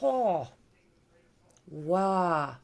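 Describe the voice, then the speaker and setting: A woman with a creaky voice hosting a true crime livestream, reading aloud and chatting informally with viewers as she thinks aloud. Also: lowish voice, big pitch swings, drawn-out words. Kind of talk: reading documents aloud with casual asides to viewers.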